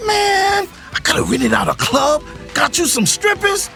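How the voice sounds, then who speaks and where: A brash young man, played by an actor, needles his brother in slangy, mocking banter. The voice is very high for a man and bright, with faint music behind it. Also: wildly swooping pitch, measured pace, creaky, full character voice. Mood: brash, teasing. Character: brash, teasing older brother, resentful of his father. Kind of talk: scripted audio drama dialogue.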